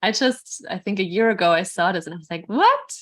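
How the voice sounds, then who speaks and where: A woman's voice: medium pitch, big pitch swings, brisk. A woman hosting an interview, answering her guest with agreement and her own reflections. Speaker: podcast host. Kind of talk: conversational interview.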